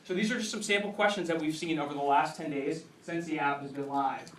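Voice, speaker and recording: A man presenting a pitch to a live audience as a startup founder, in an emphatic stage delivery. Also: a little fast, fairly bright tone. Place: indoor event venue, speaking to a crowd. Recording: roomy echoing event hall, distant.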